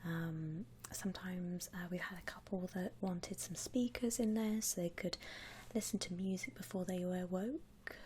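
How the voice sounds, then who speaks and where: A woman softly whispering in an ASMR roleplay as a polite, reassuring shop assistant helping a customer. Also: lowish voice, fairly even intonation, unhurried, slight background noise. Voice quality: soft, breathy whisper. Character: courteous, obliging female coffin saleswoman.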